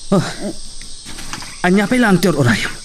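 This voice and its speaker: Intense, distant Ilocano drama schemer played by an actor. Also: male voice, high voice, sing-song, moderate pace. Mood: defiant. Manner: intense, theatrical. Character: scheming, guilt-ridden man daring a woman to shoot. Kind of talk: Ilocano radio drama acting. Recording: slightly distant mic, faint insect noise.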